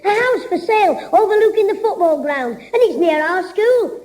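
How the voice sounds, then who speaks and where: A man's voice: very high-pitched, expressive, a little mellow. A man playing a cheeky, wisecracking young schoolboy in a 1960s radio sitcom. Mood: scheming. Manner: cheeky, wisecracking, quick retorts. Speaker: child character in radio comedy.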